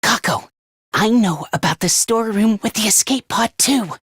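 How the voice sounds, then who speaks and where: High-pitched, jittery, self-loathing young student. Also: male voice, expressive, normal speed, fairly bright tone, husky, no echo, clean studio sound, exaggerated voice. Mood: hushed, earnest. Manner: stammering, whiny, self-deprecating. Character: timid, self-loathing, anxious young male academy student. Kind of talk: anime video game voice acting.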